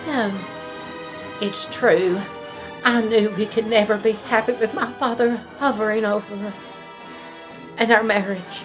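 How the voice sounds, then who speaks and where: A woman's voice: medium pitch, fairly even intonation, unhurried, pauses often, lightly acted, poor audio. A woman playing an aggrieved, lovestruck young daughter in a comic radio drama, with a melodramatic delivery. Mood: confessing. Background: clear music.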